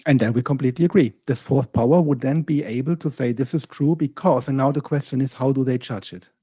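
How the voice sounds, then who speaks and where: A man's voice: mid-range voice, fast talker, mellow, slightly rough, no echo. A man co-hosting a podcast, arguing a point in casual talk and acting out the remarks he quotes. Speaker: podcast co-host. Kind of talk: casual discussion.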